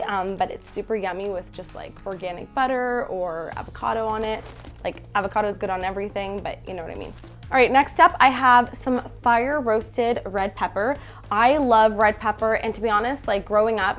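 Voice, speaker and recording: A young Canadian woman with a stuffy, nasal voice from a head cold, chatting casually in her bedroom about groceries. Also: a little high. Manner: chatty, upbeat, many fillers. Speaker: food vlogger. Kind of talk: casual haul video chat. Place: bedroom at home.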